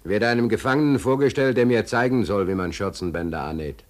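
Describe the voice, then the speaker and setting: An actor playing a wry, stateless drifter in a radio play, speaking in a laconic, deadpan way. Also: male voice, fairly low pitch, a little fast, loud music behind the voice, close to an everyday voice. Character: wry, stateless male drifter recounting his prison days. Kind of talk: German radio play acting, dry first-person narration.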